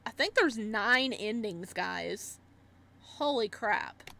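A woman giving casual let's-play commentary and reading game text aloud, with an excitable, reactive manner. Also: mid-range voice, slow, creaky. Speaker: gaming streamer.

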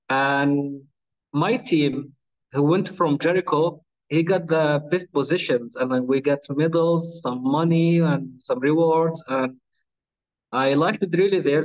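A man in his thirties from Palestine, Arabic his first language, answering interview questions in plain English with small slips, on a video call from a small room. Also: a little high. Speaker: webinar guest. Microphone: laptop webcam on a video call. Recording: dull lo-fi video call audio.

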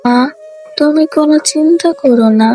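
A woman voicing an eager little village girl who speaks in an earnest, lively way. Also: sits high, normal speed, soft background music, natural voice.

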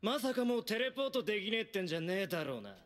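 An actor playing a cruel, cocky young male thug who taunts his opponent in rough, sneering speech. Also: sits high, sing-song, very fast. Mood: taunting. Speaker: villain. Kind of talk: anime dub acting. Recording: voice over loud background music.

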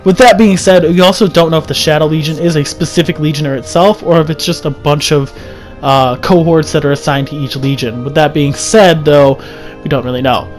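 A man's voice: a little high, fairly even intonation, fairly quick, faint music behind. A young man with a sick, scratchy throat, reading a game lore script aloud and often stumbling over words. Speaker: lore video narrator. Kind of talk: read-aloud lore narration over gameplay.

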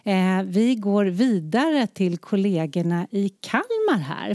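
A woman moderating a seminar from a studio, reading a measured introduction from a tablet. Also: medium pitch, warm tone, no room sound. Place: studio with wooden slat wall.

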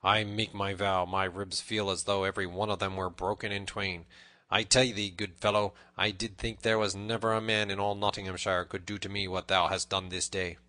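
A man's voice: sits low, little pitch movement, normal speed, bright, forceful tone. A man voicing a proud but beaten outlaw fighter, roaring and grumbling in archaic storybook speech. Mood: sore, grudging.

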